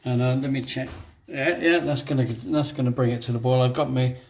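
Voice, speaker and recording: A man's voice: medium pitch, fairly even intonation, fairly quick, darkish tone. A man demonstrating a dessert in a kitchen, talking through each step with jokes. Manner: chatty, jokey, narrates each step. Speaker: guest cook. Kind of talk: cooking demonstration chat.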